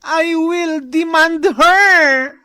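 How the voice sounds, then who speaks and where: A woman's voice: very high voice, extremely slow, bright, forceful tone, no room sound, clean studio sound, full character voice. A woman with an Ilocano accent playing a brash, combative pork seller out collecting debts in a comedy drama. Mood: outraged.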